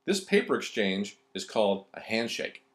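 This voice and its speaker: A man's voice: on the low side, big pitch swings, moderate pace, fairly bright tone. A man reading scripted narration for an instructional video.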